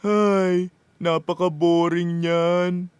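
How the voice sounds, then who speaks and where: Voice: medium pitch, level tone, extremely slow, a little mellow, smooth, no echo. A performer voicing a hungry, restless little boy in a children's cartoon, childlike and whiny. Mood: curious, restless. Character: little boy José, hungry, restless, food-obsessed at bedtime.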